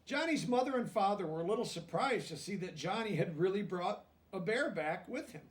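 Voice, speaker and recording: A man reading a children's story aloud and pausing to explain things simply, like a teacher with young listeners. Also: sits high, lively intonation, recorded from a distance, a little hiss or hum. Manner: pauses to explain words and check understanding in plain language.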